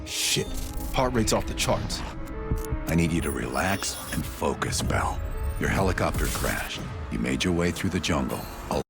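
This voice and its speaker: A man's voice: very deep voice, very animated, unhurried, creaky, heavily acted. A man playing a grim, controlling CIA interrogator and war veteran in a cinematic video game, commanding and insistent. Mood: alarmed. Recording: loud film score behind. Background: dramatic music.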